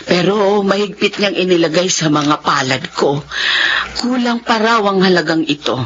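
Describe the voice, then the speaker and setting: A woman's voice: on the low side, expressive, moderate pace, fairly bright tone, slight background noise. An actress playing a humble, grateful older mother in a radio drama, speaking in a homely, earnest way. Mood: gentle. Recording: muffled radio drama recording.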